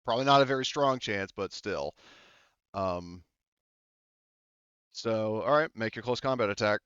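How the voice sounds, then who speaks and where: Deep smooth-voiced man running a game. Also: fairly bright tone, dry recording, muffled recording. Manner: halting, thinking aloud. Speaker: game master. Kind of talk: running a tabletop roleplaying game, casual.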